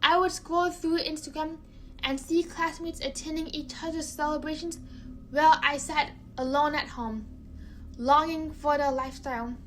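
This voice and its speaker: A young woman from China narrating a personal story, careful and halting, often repeating words. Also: sits high, steady tone, a little hiss or hum, low-quality recording.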